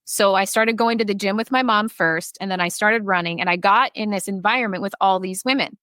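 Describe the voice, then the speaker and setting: A woman's voice: mid-range voice, brisk, bright, forceful tone, no echo. A woman guest on a podcast, telling personal stories in a frank, talkative way and swearing casually.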